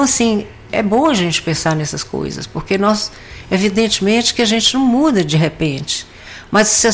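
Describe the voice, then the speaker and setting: A Brazilian woman with a hoarse voice and a cough, giving a rambling spoken religious reflection full of fillers. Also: fairly low pitch, a little hiss or hum. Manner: meandering, with many fillers and restarts. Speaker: lay speaker giving a weekly reflection.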